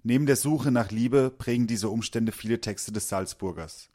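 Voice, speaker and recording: A man reading a scripted narration for a culture report in a measured, even way. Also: mid-range voice.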